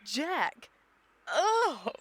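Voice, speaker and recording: A woman's voice: on the high side, animated, moderate pace, natural voice. Lady doing snooty victorian society lady, swoopy theatrical voice, radio play, faint noise behind. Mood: haughty, startled. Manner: haughty, formal, theatrical diction. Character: haughty, sophisticated young Victorian society lady. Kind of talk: scripted radio comedy acting.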